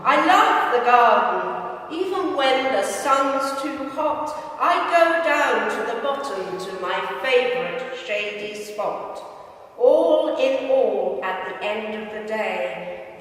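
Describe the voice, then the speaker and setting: A woman reads poetry aloud at an unhurried, deliberate pace, pausing between phrases. Her voice rings around a big hall with a long reverb, picked up at a distance from the microphone. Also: fairly high pitch, lively intonation, fairly bright tone. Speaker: reader at a live literary event. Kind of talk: reading poems and short prose aloud to an audience. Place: large indoor gym hall at a live reading.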